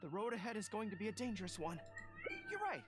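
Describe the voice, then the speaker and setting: A woman's voice: mid-range voice, sing-song, fast, lightly acted. A woman voicing an earnest, easily flustered young general in a video game, with dramatic declarations. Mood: earnest, resolute. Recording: game audio with background music.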